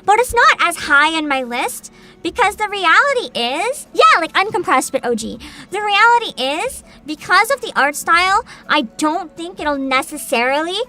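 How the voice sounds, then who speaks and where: A woman with a very high, swooping voice chats casually as a livestream host. Her tone is bright and crisp, and she drops little hums between thoughts as she shares her opinions. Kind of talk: casual livestream chat, giving opinions to viewers.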